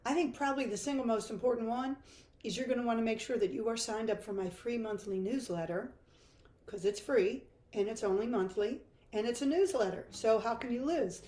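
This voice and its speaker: An older woman with a hoarse, froggy voice, teaching a chatty guitar lesson live from her home music room. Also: on the high side, fairly quick, dark tone, echoey room. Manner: chatty, self-deprecating, laughs easily. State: hoarse from laryngitis.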